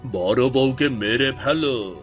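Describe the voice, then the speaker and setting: A man playing a scheming village gentleman in a cartoon story, coolly coaxing and authoritative. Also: mid-range voice, slow, lightly acted. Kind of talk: scripted cartoon story acting. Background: music.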